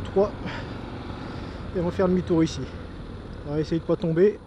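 High-voiced Frenchman talking over loud noise. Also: steady tone, moderate pace, muffled recording. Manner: enthusiastic, informal, thinking aloud. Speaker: motovlogger. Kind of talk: casual vlog commentary while riding a motorcycle. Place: outdoors on a moving motorcycle on country roads. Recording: noisy outdoor recording with engine and wind.

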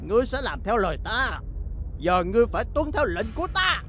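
A woman's voice: a little high, very animated, a little fast, over music. A woman voicing a smug, bossy young villainess in a children's cartoon, taunting and mock-sweet.

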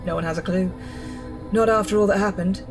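A woman's voice: medium pitch, steady tone, fairly quick, over music, lightly acted. A woman playing a composed resistance medic in a radio drama, explaining things in a blunt, matter-of-fact way. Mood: grave, explanatory.

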